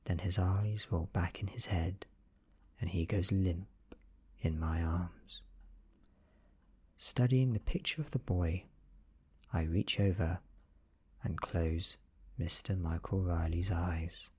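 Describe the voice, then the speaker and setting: A man with a British accent giving a soft-spoken, near-whispered ASMR reading of a horror story, close to the microphone. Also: sits low, slow, pauses often, breathy edge. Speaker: narrator.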